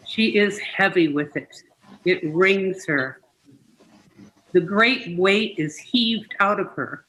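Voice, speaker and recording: A reader delivers poems aloud at a very slow pace, in a mid-pitched voice. Each short line is set apart and given time. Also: bright, forceful tone, poor audio. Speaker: participant reading poetry aloud. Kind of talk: unhurried poetry reading.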